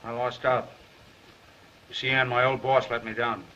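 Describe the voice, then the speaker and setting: A man's voice: medium pitch, little pitch movement, normal speed, pushed and bright, lightly acted. An actor playing a brash, wisecracking newspaper reporter down on his luck in a 1930s Hollywood film. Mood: rueful. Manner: cocky, wisecracking. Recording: muffled, noisy 1930s film soundtrack.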